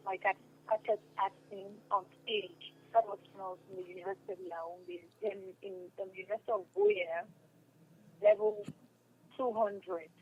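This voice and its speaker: A woman's voice: mid-range voice, slightly rough. A young woman with a Cameroonian accent giving a casual interview as a guest, speaking emphatically and repeating her phrases. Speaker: interview guest, stage and radio drama actress. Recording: dull lo-fi telephone-like radio sound.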